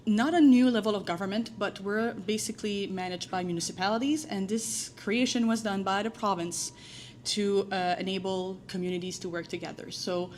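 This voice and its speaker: A woman's voice: mid-range voice, steady tone, normal speed, darkish tone, slight background noise. A woman with a French accent, a native French speaker from New Brunswick, giving a conference presentation with lots of "uh" fillers and a friendly, self-deprecating manner. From New Brunswick, Canada. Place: conference room.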